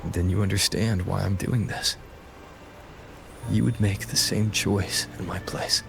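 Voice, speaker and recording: A man voicing a calm, resolute young hero in a video game, earnest and understated in his delivery. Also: low voice, a little slow, vocal fry, noisy background. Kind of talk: scripted video game voice acting.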